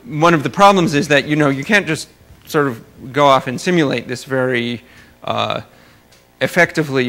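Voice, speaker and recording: Bright-voiced man lecturing, with gravelly creak. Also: medium pitch. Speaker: astrophysics researcher presenting at a conference. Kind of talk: academic lecture talk with slides.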